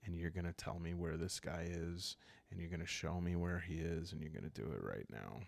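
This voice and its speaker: A man voicing a bitter, vengeful vampire vagabond in a tabletop story, speaking in a deliberate, quietly threatening way. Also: sits low, creaky, no echo.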